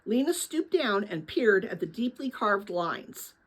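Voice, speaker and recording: A woman reading a children's novel aloud as dramatic, expressive story narration. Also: medium pitch, a little slow. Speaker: audiobook-style reader of a children's novel.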